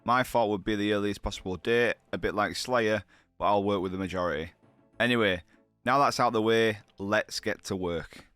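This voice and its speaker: A man's voice: fairly low pitch, normal speed, a bit bright, clean tone, no room sound, soft background music. A man with a heavy cold, talking through his gameplay in a chatty, upbeat way, full of slang. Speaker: gaming channel host. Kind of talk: gameplay commentary.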